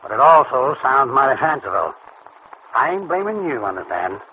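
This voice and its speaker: An actor in an old radio serial playing a wary, stubborn small-time hoodlum with blunt, uneducated slang. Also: male voice, mid-range voice, big pitch swings, moderate pace, pushed and bright. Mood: stubbornly refusing. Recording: dull old radio recording, faint noise.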